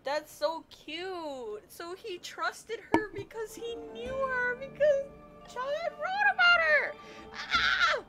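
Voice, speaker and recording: A woman's voice: very high-pitched, animated, takes it slowly, sharp tone. Squealing, gushing young woman streamer. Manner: gushing fangirl, rambling, squealing. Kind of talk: excited live game commentary. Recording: game music playing under the voice. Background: game music.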